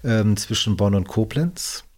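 A man's voice: lowish voice, animated, unhurried, darkish tone. A man in his fifties from the Cologne area, chatting as an interview guest, friendly and rambling, now and then tripping over his words and correcting himself. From Cologne area, Germany. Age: 50s. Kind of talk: casual interview chat.

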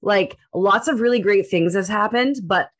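A woman's voice: medium pitch, normal speed. A woman co-hosting a podcast, talking candidly in long run-on sentences. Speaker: co-host. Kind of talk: casual podcast talk.

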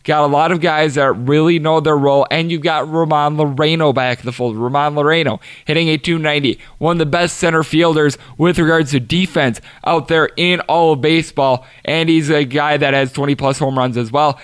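Betting podcast guy, bit raspy, higher pitched, steady and full of stats, dry close mic. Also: brisk, hardly any pauses, no echo. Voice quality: slightly raspy. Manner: steady, stat-heavy delivery. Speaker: sports betting podcast host. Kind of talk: solo betting analysis.

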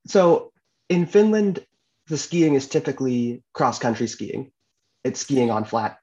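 A man hosting a podcast, talking in a relaxed, chatty way. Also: mid-range voice, a little slow, highs cut off. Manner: relaxed, chatty, uses fillers. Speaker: podcast host. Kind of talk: casual podcast chat.

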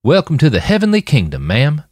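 Guy doing a drawly polite young guard boy, devout, audiobook style, lively pitch. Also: brisk, no room sound. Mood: polite, pious.

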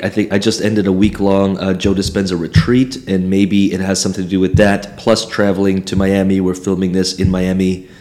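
A man co-hosting a podcast about online coaching, hoarse and losing his voice, talking casually and candidly. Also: sits low, a little mellow. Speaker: podcast co-host.

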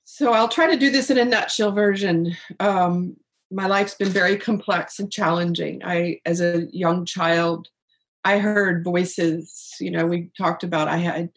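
A middle-aged woman speaking earnestly and reflectively as an interview guest about purpose and spirit, with soft laughs. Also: on the low side. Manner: earnest, searching, laughs softly. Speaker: guest, author on life purpose.

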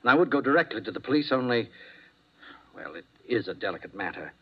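An actor playing a nervous, fussy doctor in 1950s radio drama, speaking hesitantly and formally. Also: male voice, medium pitch, sing-song, fast talker, slightly rough. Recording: muffled old radio broadcast.

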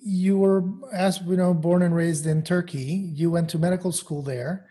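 A man hosting a podcast, presenting in an orderly way and putting questions to a guest, with a husky voice. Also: sits high, fairly even intonation, normal speed, warm tone. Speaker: podcast host. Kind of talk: scripted podcast introduction and interview questions.

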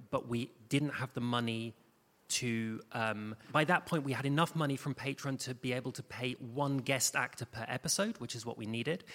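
In a hall with long reverb, a man with a medium-pitched voice speaks off the cuff as a panel guest. His delivery is halting, with repeated words as he gets going. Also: a bit bright. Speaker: panel guest and show co-writer. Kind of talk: unscripted panel account. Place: live panel in a reverberant room.

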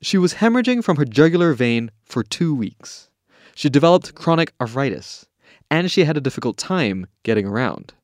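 A man's voice: fairly high pitch, sing-song, normal speed, no echo, clean studio sound. A man hosting a podcast and reading scripted documentary narration.

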